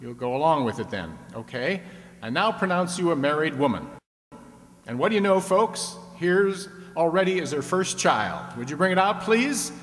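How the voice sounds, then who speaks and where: A man's voice: a little high, big pitch swings, normal speed, a bit bright. A man playing a genial, teasing game show host on a 1950s style radio audience show, with playful, folksy patter. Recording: echoey hall, clear background noise.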